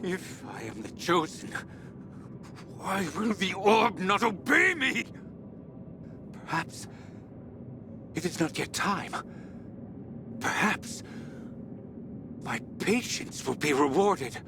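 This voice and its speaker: An actor playing an obsessed researcher sliding into messianic madness in a video game audio log, speaking haltingly and broodingly, at times breaking into whispers or cries. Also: male voice, high voice, big pitch swings, noisy background, full character voice. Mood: frustrated, obsessive. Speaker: expedition leader recording audio logs. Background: background music.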